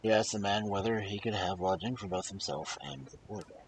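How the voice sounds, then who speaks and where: A man reading folktales aloud as host, in an expressive way with dramatic pauses and casual asides. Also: low voice, a little hiss or hum, low-quality recording. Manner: animated, dramatic pauses and emphasis. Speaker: storyteller and host of a live reading stream.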